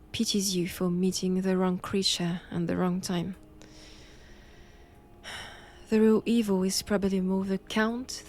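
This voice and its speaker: A woman performs a stern nun who hunts vampires, in a dramatic roleplay audio. Her French accent shapes slow, deliberate sentences at a medium female pitch. She is formal, cold and commanding, sure of her own righteousness. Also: a little mellow, a little hiss or hum, natural voice. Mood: grave, condescending.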